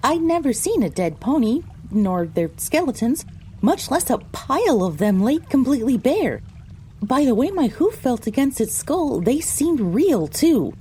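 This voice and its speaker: A mellow, fairly high female storyteller , acted by a woman, narrates as a young pony in an audio drama. She recounts a frightening misadventure in the first person, with faint trickling water behind her. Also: big pitch swings, a little slow, a little hiss or hum. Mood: dramatic recounting.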